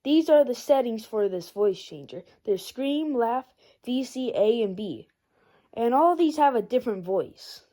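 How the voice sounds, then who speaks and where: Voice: medium pitch, lively intonation, a little slow, mellow. A casual amateur reviewer talking informally and a little hesitantly in a rambling product review vlog. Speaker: video reviewer.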